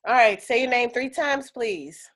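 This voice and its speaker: A woman teaching an energy healing class on a video call, chatty and animated, with lots of fillers. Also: a little high, a little slow.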